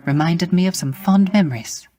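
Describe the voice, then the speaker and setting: A man playing a gentle, melancholy male musician of the underworld in a video game, speaking formally and courteously with wistful, poetic, old-fashioned phrasing. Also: sits high, normal speed. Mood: wistful, tender. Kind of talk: scripted video game character acting.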